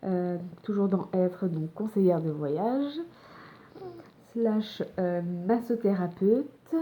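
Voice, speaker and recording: Slow, mellow-voiced Frenchwoman vlogging. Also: medium pitch, slight background noise. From France. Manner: hesitant, many fillers and restarts. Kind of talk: personal casual talk to camera. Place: home in Montreal.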